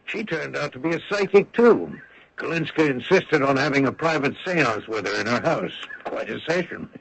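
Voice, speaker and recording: A man's voice: mid-range voice, lively intonation, moderate pace, gravelly, a bit bright, poor audio. A man playing a confident, needling character in a radio drama, with a pointed, folksy, clipped delivery. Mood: brisk, wry. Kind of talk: 1970s-80s radio drama acting. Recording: old radio recording with faint noise.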